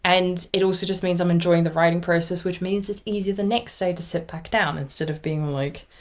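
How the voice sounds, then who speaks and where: A woman's voice: on the low side, steady tone, fairly quick. A woman around thirty, originally from Austria with German as her first language, chatting casually and at length as a knitting podcast host. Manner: rambly, chatty, self-reflective. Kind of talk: casual vlog chat.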